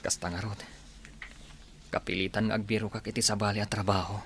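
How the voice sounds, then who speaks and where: A man's voice: fairly low pitch, level tone, a little fast, a bit bright. A man acting a young suitor in a radio drama, with an Ilocano Filipino accent. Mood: worried. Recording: faint background noise.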